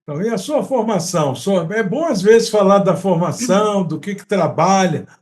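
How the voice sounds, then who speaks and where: A man's voice: high voice, expressive, normal speed, darkish tone. A man with a Brazilian accent hosting an interview podcast in an effusive, courteous way. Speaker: podcast host. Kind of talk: chatty interview.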